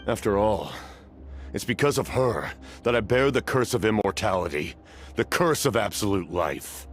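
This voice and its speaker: Man voicing a very deep, grave cursed knight. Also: animated, moderate pace, soft background music, lightly acted. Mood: defiant, bitter. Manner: grave, formal, declamatory. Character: solemn immortal cursed knight captain, grim and resolute. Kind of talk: dramatic video game voice acting.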